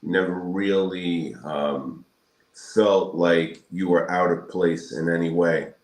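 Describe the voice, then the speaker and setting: A man in his sixties from Brooklyn, answering interview questions in a measured, halting, reflective way with pauses between phrases. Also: sits low, fairly even intonation. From Brooklyn, New York, United States. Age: 60s. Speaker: guest, periodontal surgeon. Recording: roomy echoing sound.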